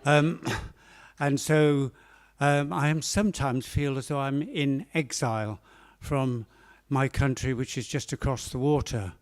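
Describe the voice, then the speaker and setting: A man with a Welsh accent, an open mic poet in a cafe, introducing and reading his poem in a slow, measured way with hesitant fillers. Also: medium pitch, fairly even intonation. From Wales.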